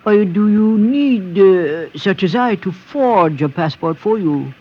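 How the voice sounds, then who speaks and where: A man's voice: high voice, lively intonation, takes it slowly, husky, exaggerated voice. A man playing a shifty, obsequious petty thief and forger in a radio drama, hesitant and oily in his politeness. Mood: wheedling, sly. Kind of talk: old-time radio drama acting. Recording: muffled, noisy vintage radio recording.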